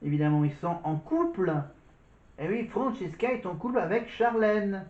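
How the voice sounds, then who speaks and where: A man's voice: sits high, animated, normal speed, a little mellow. A man giving casual commentary on a reality show, rambling and repeating his filler words. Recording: roomy, muffled recording.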